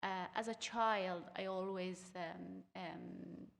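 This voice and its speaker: A young woman from Afghanistan whose first language is Uzbek, speaking haltingly with many fillers as a students' union equity officer on a theatre panel. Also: on the low side, steady tone, creaky, a bit bright, in a large hall. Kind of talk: panel talk on stage. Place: theatre stage.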